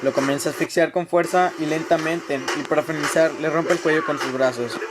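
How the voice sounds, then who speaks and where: A man's voice: on the high side, steady tone, brisk, noisy background. A man reading scripted narration for a radio drama, telling a story in the third person.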